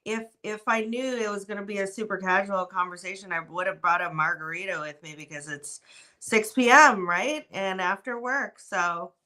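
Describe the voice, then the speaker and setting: A woman's voice: mid-range voice, moderate pace, low-quality recording. A woman in her forties from Canada with a slight Texan accent, talking casually and animatedly as a podcast guest over a video call on a tablet. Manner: bubbly, animated storytelling. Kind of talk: casual interview chat. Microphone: tablet mic on a video call.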